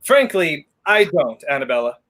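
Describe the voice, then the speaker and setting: A young man playing a flippant, skeptical gentleman in a 1930s radio thriller, wry and theatrical. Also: fairly high pitch, big pitch swings, moderate pace. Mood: amused, skeptical. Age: young adult. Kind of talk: 1930s radio play acting.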